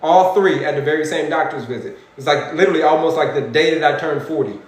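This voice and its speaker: Man, 40s, livestream health coach; animated, preachy, repeats himself; slightly high pitch; very reverberant room. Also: fast talker. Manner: animated, repetitive, preachy, talks to viewers as folks. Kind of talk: energetic motivational livestream, reading chat comments. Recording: very echoey room.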